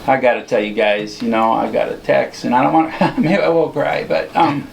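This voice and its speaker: A man's voice: medium pitch, fairly even intonation, moderate pace, creaky, poor audio. An older man chatting casually on live radio, effusive and slangy, often addressing the listeners. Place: local radio studio. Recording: faint background noise on live radio.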